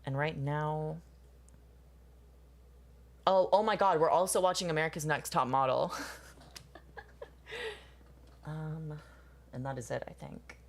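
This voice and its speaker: Low-voiced young woman chatting casually. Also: slow pace, pauses often. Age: 20s. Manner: rambling, profane, laughs often, answers chat. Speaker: streamer chatting with viewers. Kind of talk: casual chat while drawing.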